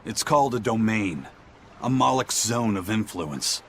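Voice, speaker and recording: A man's voice: on the low side, expressive, a little slow, a little hiss or hum. An actor playing a wary male adventurer in a video game cutscene. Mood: grave. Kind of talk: animated game cutscene acting. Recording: background music over dialogue.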